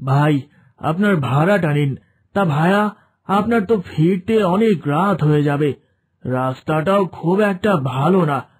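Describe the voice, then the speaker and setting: A man's voice: a little high, expressive, measured pace, slightly rough. A man playing a considerate, polite passenger who sounds concerned, in a horror cartoon. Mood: concerned, kindly. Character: kindly, considerate male passenger offering hospitality. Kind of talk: Bengali horror cartoon dialogue.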